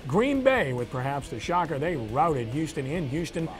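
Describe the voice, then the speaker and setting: A man's voice: a little high, sing-song, moderate pace, over music. A man hosting a sports show, giving commentary and results in clipped, headline-style phrases.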